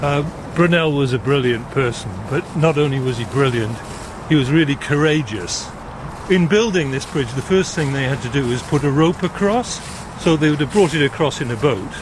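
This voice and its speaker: An older man from South Wales, explaining things in a measured way as an interview guest. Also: mid-range voice, lively intonation. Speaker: interview guest, retired nuclear engineer. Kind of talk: explanatory conversation. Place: outdoors walking in a gorge. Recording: outdoor recording with clear traffic noise. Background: road traffic and outdoor ambience.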